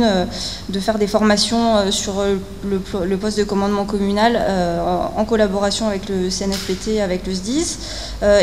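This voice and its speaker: A woman giving a technical conference presentation as a researcher, speaking haltingly with frequent fillers and repeated words. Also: mid-range voice, a little mellow. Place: indoor conference hall at a technical event. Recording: echoey hall, clear background noise.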